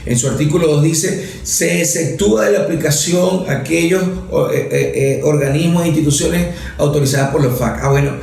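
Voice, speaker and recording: A man with a fairly high voice talks in a conversational way, stacking up facts and asking himself questions. He trips into restarts and fillers, then keeps going. His voice rings through a very echoey room. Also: fairly quick, vocal fry, hardly any pauses, darkish tone. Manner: fluent, many restarts and fillers, rhetorical questions. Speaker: lawmaker giving a talk. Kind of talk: conversational political talk.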